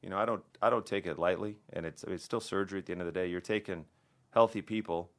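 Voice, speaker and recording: Deep-voiced fast-talking man. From American Midwest. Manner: relaxed, conversational, many restarts and "you know". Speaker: plastic surgeon guest. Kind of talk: casual podcast interview.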